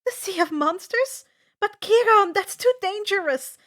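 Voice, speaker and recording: Woman playing a high, fierce teenage girl. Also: expressive, measured pace, fairly bright tone, exaggerated voice. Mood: alarmed, protesting. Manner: urgent, protesting, emphatic.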